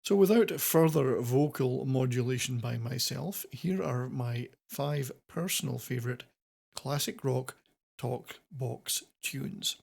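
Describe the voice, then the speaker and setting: A man with a creaky, gravel-edged voice at a middling pitch presents a music countdown. His delivery is semi-scripted commentary, wordy and playfully florid, in the manner of a rock channel host. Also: slow pace, a little mellow.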